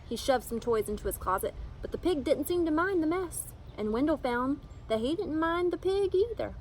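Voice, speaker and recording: A woman reading a children's picture book aloud in an animated, emphatic storytelling manner. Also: high voice, normal speed, slight background noise, rough recording. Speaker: storybook reader.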